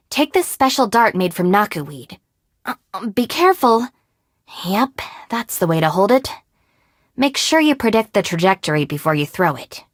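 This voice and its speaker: A woman's voice: mid-range voice, no echo. A young woman voicing a capable, level-headed gang deputy in a video game, speaking in a composed, dry, matter-of-fact way. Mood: friendly, careful. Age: young adult. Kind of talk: video game character voice lines.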